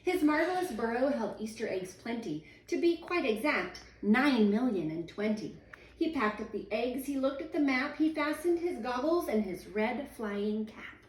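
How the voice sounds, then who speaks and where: A woman, a teacher in her thirties, reading a children's picture book aloud at home in a sing-song, expressive way. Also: a little high, normal speed. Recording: echoey room, voice a little far from the mic.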